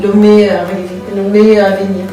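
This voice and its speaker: A woman with a Cameroonian accent interviewing a guest and encouraging him warmly. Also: medium pitch, little pitch movement, moderate pace. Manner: encouraging, warm praise. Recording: echoey room, far from the mic, music behind.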